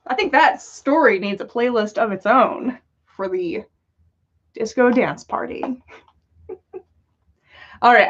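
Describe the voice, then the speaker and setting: A playful, giggly woman hosting a livestream, reading stories aloud and chatting casually. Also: sits high. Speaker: podcast host reading listeners' stories aloud. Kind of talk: storytelling read-aloud with casual chat on a livestream. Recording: dull lo-fi livestream audio.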